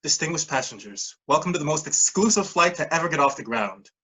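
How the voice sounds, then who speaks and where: Actor as grandiose scheme leader, husky, bright, swooping pitch, ceremonious, rousing, staged reading. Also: expressive, brisk. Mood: solemn, welcoming. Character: Peter, grandiose pilot leading a pyramid scheme.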